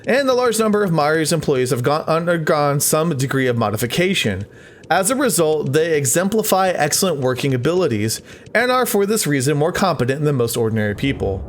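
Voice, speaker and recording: A man's voice: on the high side, big pitch swings, brisk, slight background noise. A man hosting a let's play, chatting to the game characters and reading game text aloud.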